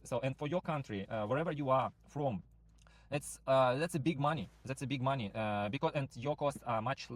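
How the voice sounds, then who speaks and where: A man whose first language is not English, giving a halting sales pitch for a rental platform, full of fillers and restarts. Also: no echo. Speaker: property platform founder recruiting sub-agents. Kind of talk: screen-share walkthrough pitch.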